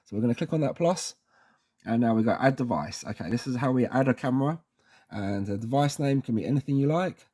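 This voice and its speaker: A man's voice: medium pitch, lively intonation, a little fast, warm tone. Casual man presenting a how-to tutorial. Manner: informal, reassuring, often checks in with tag words.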